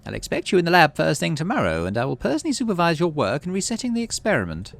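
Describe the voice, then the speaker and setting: A man reads out a strict female chief engineer from a sci-fi game. Her reprimands are clipped and stern, pitched a little higher than his usual voice. The delivery swings up and down a lot. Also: huge pitch swings, racing, creaky, slight background noise, exaggerated voice.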